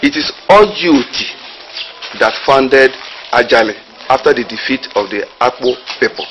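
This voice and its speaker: A middle-aged man deliberately explaining local lineage and history outdoors by a village house. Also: mid-range voice, lively intonation, fairly bright tone, a little hiss or hum, low-quality recording.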